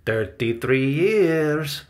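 A man's voice: a little high, animated, extremely slow, voice pushed up. A man voicing a cheerful, playful middle-aged theater dresser who likes to joke, in a storybook reading. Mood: joking.

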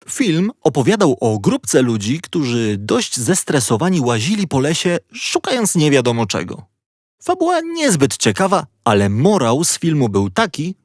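A man reading a children's audiobook as a playful, expressive storyteller. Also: mid-range voice, no room sound, clean studio sound. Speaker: audiobook narrator. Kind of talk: scripted children's story narration.

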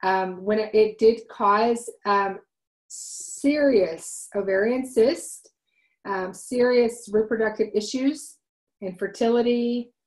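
Earnest forties woman host, loud voice, halting storytelling into a home webcam. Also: on the high side, low-quality recording. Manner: earnest, many ums and restarts, talks with her hands. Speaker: host of a wellness livestream. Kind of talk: casual personal storytelling. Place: home room, indoors. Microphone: webcam at desk.

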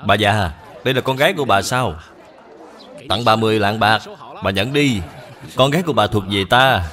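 A man's voice: lowish voice, moderate pace, a bit bright, some chatter behind, close to an everyday voice. A man playing a lecherous, pushy rich man in a cartoon dub, oily and condescending. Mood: smug, coaxing. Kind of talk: dubbed cartoon drama acting.

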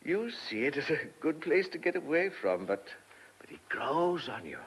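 A man's voice: a little high, very animated, moderate pace, breathy edge. An actor playing an ailing older farmer, a reflective, plain-spoken father in a radio drama. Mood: wistful.

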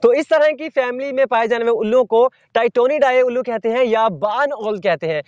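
A young man hosting a wildlife channel, explaining calmly to the viewer while sitting on open sandy ground outdoors. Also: very high-pitched, dry recording, highs cut off. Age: young adult. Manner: measured, teacherly, addresses viewer directly.

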